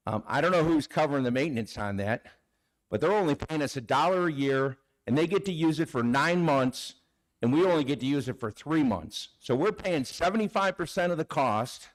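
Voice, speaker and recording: A man's voice: medium pitch, rough recording. A man speaking as a public commenter at a town board hearing, confrontational and haranguing the board. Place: town hall meeting room.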